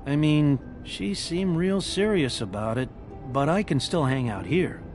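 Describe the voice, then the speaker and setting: Sarcastic, high-pitched grumbling talking pig. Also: male voice, expressive, measured pace, a little mellow. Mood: wry, grumbling. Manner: sarcastic, blunt, complaining. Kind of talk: video game voice acting. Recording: music behind the voice.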